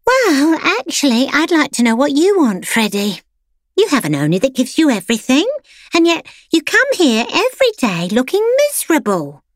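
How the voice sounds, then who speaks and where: A woman doing a pampered lady pet with a very high, lilting voice that swoops up and down. She talks down to everyone in a smug, condescending way, in a dry recording. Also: wildly swooping pitch, normal speed, dry recording. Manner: condescending, sing-song. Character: pampered prim female pet, smug and superior.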